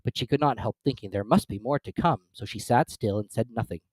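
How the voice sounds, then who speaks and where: A narrator giving an expressive, dramatic reading of a classic children's story. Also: medium pitch, brisk, breathy edge, no room sound.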